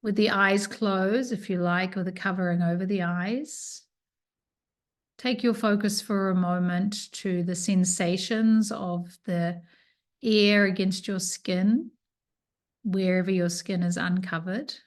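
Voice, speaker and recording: Woman guiding a slow, soothing relaxation. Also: medium pitch, fairly even intonation. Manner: soothing, unhurried instruction with pauses. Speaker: yoga nidra teacher. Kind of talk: guided relaxation meditation.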